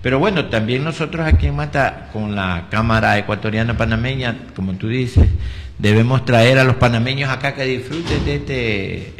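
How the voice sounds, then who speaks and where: A man's voice: mid-range voice, normal speed. An Ecuadorian-accented man hosting a radio show in a casual, chatty style. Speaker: radio host. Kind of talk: casual chat. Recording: reverberant room with faint noise.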